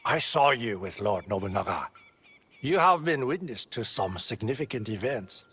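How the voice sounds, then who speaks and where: A man playing a courteous, scholarly former warrior turned scribe in a feudal Japan game, formal, earnest and husky. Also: on the low side, animated, moderate pace, fairly bright tone, full character voice. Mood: courteous, admiring.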